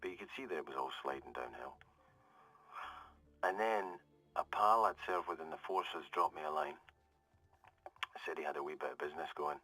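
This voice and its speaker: An actor playing a hard-bitten Scottish ex-military survivor in a game audio log, talking in a wry, conversational, confessional way. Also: male voice, a bass voice, normal speed, long pauses, pushed and bright, full character voice, low-quality recording. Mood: dry, knowing. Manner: conversational, hesitant, darkly wry, trailing off. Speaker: security corporal.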